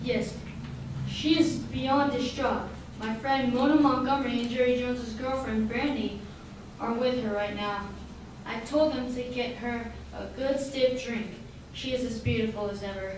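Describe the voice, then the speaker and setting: A woman's voice: a little high, fairly even intonation, a little slow, close to an everyday voice. Woman as a genteel estate owner, cavernous echo. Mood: genteel, troubled. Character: genteel wealthy male estate owner hosting a reunion. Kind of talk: old-time radio drama acting. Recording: very echoey hall, distant mic, faint noise.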